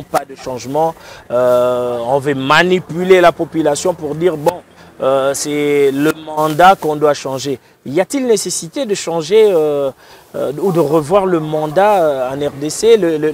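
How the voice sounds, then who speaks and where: A man's voice: a little high, a little mellow. A man who hosts the interview and asks long questions, hesitating and restarting often. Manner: hesitant, many fillers and restarts. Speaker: interviewer host.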